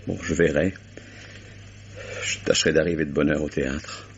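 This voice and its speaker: A jaded leading man with a very deep voice who answers in weary, clipped, sardonic lines. The sound is dull and faintly hissy, like an old radio broadcast. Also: flat delivery, moderate pace. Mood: dry. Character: detached, disillusioned middle-aged stage actor. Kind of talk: 1950s French radio drama acting.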